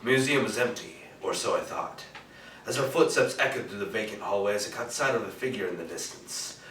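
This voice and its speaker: An actor playing a cool, wry private detective narrating a 1940s-style radio noir on stage, in an echoey room from afar. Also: male voice, fairly low pitch, fairly quick, a bit bright, close to an everyday voice. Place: theatre stage, recorded from a distance. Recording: echoey stage, distant mic, faint noise.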